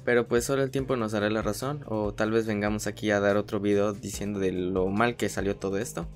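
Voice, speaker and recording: A man's voice: on the low side, fairly even intonation, fairly quick. Casual man reviewing anime over music. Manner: chatty, frequent filler "pues", restarts. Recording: voice-over with faint music behind.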